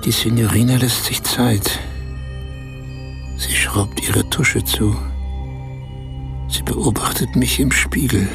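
An actor playing a man in a radio play who confides a strange, embarrassing adventure in short, halting phrases. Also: medium pitch, gravelly, over music. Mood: embarrassed, confiding. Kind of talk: radio play, intimate first-person storytelling.

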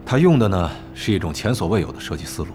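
A man's voice: deep voice, big pitch swings, fast talker, a little mellow, soft background music. A man playing a cautious equipment developer, explaining hesitantly in a Mandarin audio drama.

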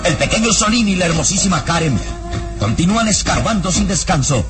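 Grave, higher-pitched male radio serial narrator. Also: big pitch swings, fairly quick. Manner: grave, theatrical. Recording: radio drama with music bed.

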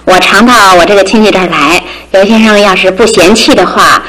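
An actress playing a polite, demure visitor in a radio drama, courteous and deferential. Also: female voice, mid-range voice, fairly quick, fairly bright tone, natural voice, low-quality recording.

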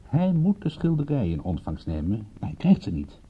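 A wry stubborn private eye, husky guy, pitch moves around a lot, muffled old radio play. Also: wildly swooping pitch, fairly quick, heavily acted. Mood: brisk, reasoning. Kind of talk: radio drama acting.

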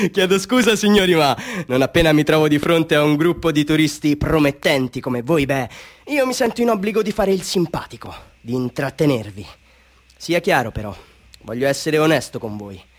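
An actor playing a chatty, self-mocking Bolivian street photographer hustling tourists, with ingratiating, mock-grand patter in a theatrical radio monologue. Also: male voice, a little high, lively intonation, normal speed. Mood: mocking, animated, then ingratiating, jokey. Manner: showman patter, ingratiating, mock-grand, swinging into wry asides.

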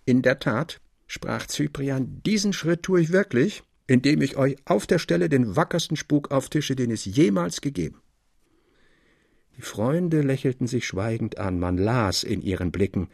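A man's voice: medium pitch, animated, a little slow, vocal fry, darkish tone, breathy edge, no echo, studio quality. A man reading scripted literary narration in the manner of an audio play.

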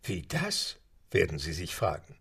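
A man narrating a radio drama in a measured storytelling manner. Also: low voice, huge pitch swings, gravelly, fairly bright tone, breathy edge, distant mic. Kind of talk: scripted radio-play narration. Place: studio. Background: music.

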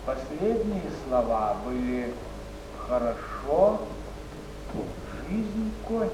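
An actor playing a sober chronicler reporting a death as theatrical documentary recitation. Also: male voice, mid-range voice, big pitch swings, slow pace. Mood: grave, factual. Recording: distant, echoey, noisy stage recording.

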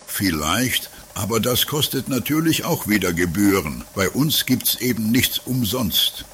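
A man's voice: lowish voice, lively intonation, measured pace, a bit bright. A man playing a dry, bureaucratic customs officer in an amateur comedy radio play. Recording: slightly noisy 1980s radio play tape.

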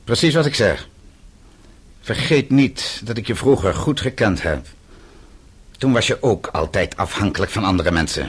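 Bitter exiled banker, deep husky voice, scornful, emphatic, radio play. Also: male voice, expressive, moderate pace, long pauses. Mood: accusing. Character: defiant, bitter exiled male banker, suspected political dissident.

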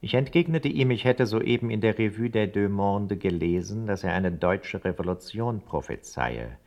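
A man's voice: lowish voice, expressive, moderate pace, darkish tone. An actor playing a scheming secret informer, a man delivering a formal report with disdain in a radio play. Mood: contemptuous.

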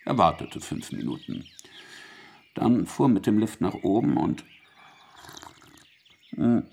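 A sly, menacing investigator in a radio drama. His voice is low and husky, and it rises and dips widely as he probes with mock courtesy and theatrical deliberation. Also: very animated, takes it slowly, a little mellow, exaggerated voice. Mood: coolly methodical. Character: sly, polite male investigator, probing and menacing. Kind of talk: German radio drama acting.

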